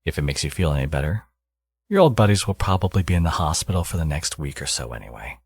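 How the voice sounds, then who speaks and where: A man playing a smug, teasing supervillain and doting father in an intimate ASMR audio roleplay, with sardonic, drawling threats and a husky voice. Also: very deep voice, very animated, quick pace, dry recording, heavily acted.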